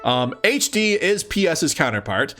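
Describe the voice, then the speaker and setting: A man's voice: fairly high pitch, very animated, unhurried, sharp tone, soft background music. A man reading aloud and commenting casually, with an amused, exclaiming, playfully sarcastic manner. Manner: amused, exclaiming, often chuckling. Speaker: host of a let's-read series.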